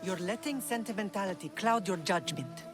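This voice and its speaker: A woman's voice: medium pitch, lively intonation, moderate pace, close to an everyday voice. Woman playing a fierce warrior mother over music. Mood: hard, vengeful. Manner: firm, resolute. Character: fierce, unforgiving middle-aged warrior mother. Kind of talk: dramatic video game acting.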